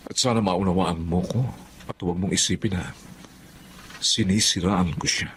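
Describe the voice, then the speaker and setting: An actor playing an earnest, cautious husband in a radio drama, with a Filipino accent and a hesitant, deliberate delivery. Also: male voice, sits low, a bit bright, slight background noise. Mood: concerned, confiding.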